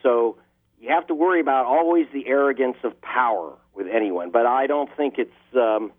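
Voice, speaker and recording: A man's voice: mid-range voice, normal speed. A man, a journalist, answering interview questions over the phone with impassioned, emphatic delivery. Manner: impassioned, building emphasis on key words. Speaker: investigative journalist guest. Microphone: telephone line. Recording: tinny phone line.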